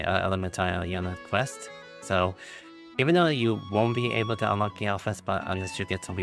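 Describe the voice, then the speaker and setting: A man chatting casually on a game stream in a rough voice, rambling and halting, with music behind him. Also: low-pitched. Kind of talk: casual livestream chat.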